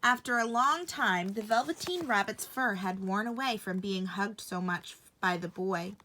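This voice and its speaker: A woman narrating a bedtime story for children in an expressive storytelling style. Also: mid-range voice, normal speed, a little hiss or hum, rough recording.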